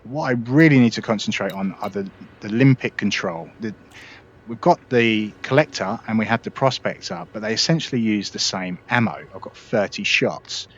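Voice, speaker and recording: A man hosting a casual gameplay tutorial, relaxed and explanatory, talking viewers through each step. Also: lowish voice, moderate pace, dull audio. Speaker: gaming video host.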